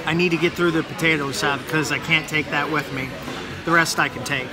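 A man in his thirties talks into a phone at arm's length while eating at a sports bar, his voice sitting a little high for a man. He rambles loosely between mouthfuls. Loud restaurant music plays steadily behind him. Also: fairly even intonation, a little fast. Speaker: food vlogger. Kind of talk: casual vlog commentary while eating.

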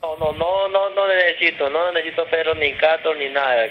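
A man's voice: a little high, fairly even intonation, a little fast. A man with a Chinese accent answering a phone call, curt, speaking in broken grammar. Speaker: restaurant worker answering phone. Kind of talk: phone conversation. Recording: slightly noisy phone call.

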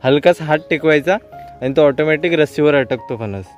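A man's voice: fairly high pitch, a little fast. A young man talks viewers through his work in an outdoor orchard, filming on a handheld phone. He explains each step in an easy, chatty way, with faint music under his voice. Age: young adult. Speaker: vlogger. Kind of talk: casual outdoor vlog narration.

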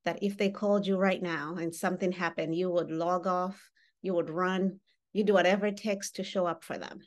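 A woman's voice: lowish voice, moderate pace. A woman in her thirties, who grew up in Uganda, leading an online workshop on a video call and talking directly to the participants. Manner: animated, gestures while speaking, engages participants directly. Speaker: workshop facilitator. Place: home room with wall baskets. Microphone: webcam on a video call.

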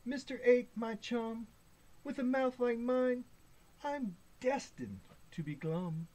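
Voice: mid-range voice, expressive, slow, dark tone, a little hiss or hum, voice pushed up. A woman voicing a gloomy, resigned male fish in a children's storybook, lamenting in rhyme. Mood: glum, resigned. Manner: glum, lamenting, rhyming. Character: gloomy, dreary pout-faced male fish, resigned to mope.

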